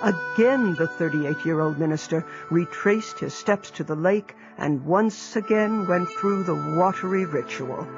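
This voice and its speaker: A narrator reads a historical story in even, measured tones. The audio is dull and lo-fi. Clear background music plays throughout. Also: medium pitch.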